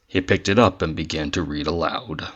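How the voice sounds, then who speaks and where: A man's voice: extremely low pitch, moderate pace, fairly bright tone, breathy edge, dull audio. A man reading scripted story narration for an audio drama.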